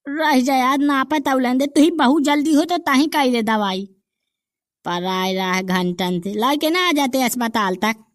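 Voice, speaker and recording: A self-important village quack doctor, voiced by a woman, speaking slowly in a Bundelkhandi accent. The voice is smooth and clear and sits fairly high. It is recorded close and dry. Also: no echo. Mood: diagnosing. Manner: self-important, drawn-out boasting.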